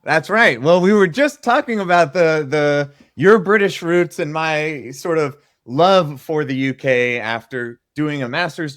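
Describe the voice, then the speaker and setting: A man's voice: on the high side, a bit bright, no room sound. Eager podcast host man, dry close sound. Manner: enthusiastic, chatty interviewer. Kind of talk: interview podcast.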